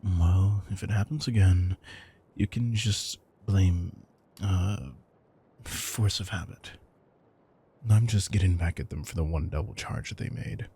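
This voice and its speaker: A man playing a retired supervillain turned caring, thrifty house husband in an intimate audio roleplay, smug, dry and affectionate, with a husky, gravelly voice. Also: sits low, unhurried, mellow, slight background noise. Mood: unrepentant.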